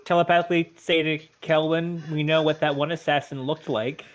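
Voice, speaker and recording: Man, game player, joking quips, slightly high voice. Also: steady tone, fairly quick. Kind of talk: playing an online tabletop game.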